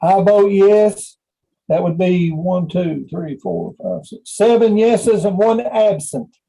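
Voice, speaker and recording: Very dark, high-pitched man calling roll. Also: slow, gravelly, rough recording. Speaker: meeting official taking roll call. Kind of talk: formal roll call.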